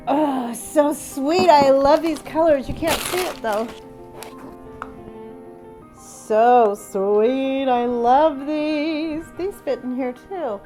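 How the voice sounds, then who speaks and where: A woman's voice: high voice, very slow, music in the background, low-quality recording. A middle-aged woman with a stuffy cold, chatting upbeat through a do-it-yourself craft tutorial. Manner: chatty and upbeat, exclaims over gifts. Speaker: craft vlogger. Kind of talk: casual DIY tutorial narration.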